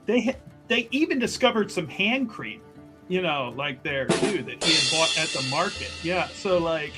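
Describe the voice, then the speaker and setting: A man's voice: a little high, animated, normal speed. A man playing in a tabletop game show, bantering casually over the recap and repeating phrases for emphasis. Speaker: player in an actual-play tabletop show. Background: music playing underneath.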